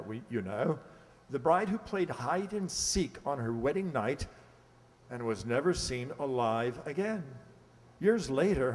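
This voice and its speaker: A man's voice: mid-range voice, natural voice. An actor playing a flustered, hesitant middle-aged English lord and father in a radio play. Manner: hesitant, fussy, stammering. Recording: echoey hall, long reverb.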